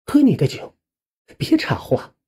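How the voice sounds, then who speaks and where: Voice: a little slow, a little mellow, close to an everyday voice. A narrator voicing a bossy but doting middle-aged aunt in an audio story, nagging and coaxing. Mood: scolding.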